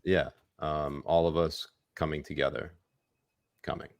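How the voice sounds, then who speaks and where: A man's voice: extremely low pitch, level tone, a little slow, dry recording, clean studio sound. A man co-hosting a podcast, trading crude teasing jokes and laughing with his co-host. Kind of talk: crude comedic banter.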